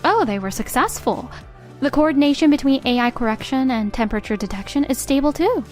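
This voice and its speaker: A woman's voice: sits high, lively intonation, a little fast, a little mellow, soft background music. A woman playing an earnest, composed young engineer in a manga dub, speaking politely. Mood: pleased.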